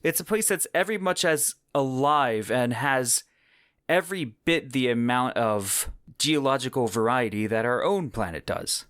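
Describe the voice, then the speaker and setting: A man's voice: medium pitch, animated, a little slow, fairly bright tone, no room sound. Man hosting a podcast, dry close sound. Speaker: podcast host. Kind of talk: semi-scripted podcast narration about science fiction.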